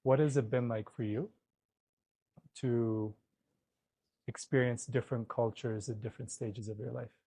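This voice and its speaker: A man interviewing a guest, asking brief, gentle prompting questions. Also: medium pitch, fairly even intonation, a little slow, pauses often, slightly rough, no room sound.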